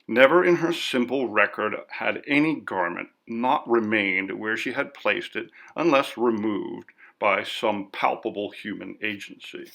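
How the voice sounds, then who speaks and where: A man reading a story as scripted narration in a measured, literary delivery. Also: lowish voice, gravelly, a bit bright. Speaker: audiobook narrator.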